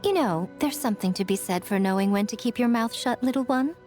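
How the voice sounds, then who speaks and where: A woman playing a sly, elegant, centuries-old fox yokai in a video game, teasing and theatrical in a measured way. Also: fairly high pitch, expressive, a little mellow, soft background music, natural voice. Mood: composed, knowing.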